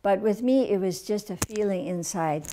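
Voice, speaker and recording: A woman in her eighties from Milwaukee, a retired nun, speaking hesitantly with many pauses in an interview indoors. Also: mid-range voice. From Milwaukee, Wisconsin. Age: 80s. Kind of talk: documentary interview. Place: indoor room. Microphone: lapel.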